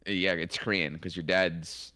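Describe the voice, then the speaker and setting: Very low-voiced woman with sarcastic asides. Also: steady tone, normal speed, fairly bright tone, faint music behind. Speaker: co-streamer. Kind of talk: casual banter on a co-stream.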